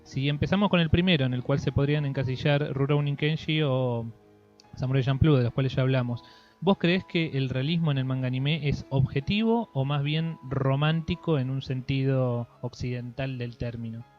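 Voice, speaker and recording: A man with an Argentine Spanish accent hosting a casual interview, phrasing his thoughts in a halting, reflective way. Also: mid-range voice. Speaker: interviewer, host. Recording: dull lo-fi audio, music behind.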